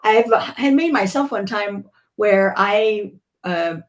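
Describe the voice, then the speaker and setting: A woman's voice: medium pitch, slow. A middle-aged woman whose first language is Spanish, chatting reflectively as a podcast guest. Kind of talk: casual video-call chat.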